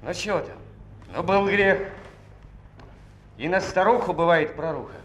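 An actor playing a boastful anarchist sailor in a 1960s film, flippant and folksy, full of old sayings. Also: male voice, high-pitched, unhurried. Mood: cocky, flippant. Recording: roomy old film soundtrack with faint noise.

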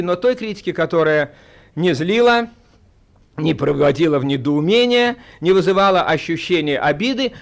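High-voiced man telling anecdotes. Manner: theatrical storytelling, acting out dialogue. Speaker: theatre colleague giving a reminiscence. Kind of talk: anecdotal speech to an audience.

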